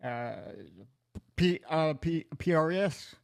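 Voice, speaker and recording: A man hosting an interview podcast, loose and jokey, often laughing and cutting in with quick replies. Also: a little high, smooth. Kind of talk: casual interview chat.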